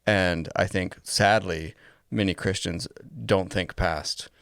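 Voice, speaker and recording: A man with a low, gravelly voice speaks as a podcast co-host in a close, dry sound. He is deliberate and conversational, often pausing partway through a thought to choose a word. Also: steady tone, fairly bright tone, no echo. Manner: deliberate, pausing mid-sentence to find words. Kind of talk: casual discussion of faith and culture.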